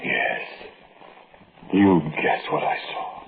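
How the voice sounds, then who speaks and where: An actor playing a haunted, frightened man who tells his story haltingly and intensely in 1940s radio suspense style. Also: natural voice. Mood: haunted, warning. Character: haunted, frightened man recounting a terrifying climb. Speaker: radio drama narrator and lead actor. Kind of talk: 1940s radio acting, first-person suspense narration. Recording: noisy lo-fi vintage radio recording.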